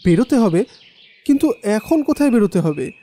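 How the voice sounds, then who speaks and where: Man as mellow, reverberant horror story narrator. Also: high voice, very animated, brisk. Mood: puzzled, anxious. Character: troubled young man narrating his ghostly tale. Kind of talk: dramatic first-person horror story narration. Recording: long reverb, faint music bed.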